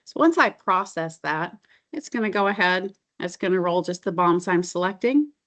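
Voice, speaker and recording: A middle-aged woman presenting a software demo as a consultant, explaining features in an informal, enthusiastic, conversational way. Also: lowish voice, animated, normal speed.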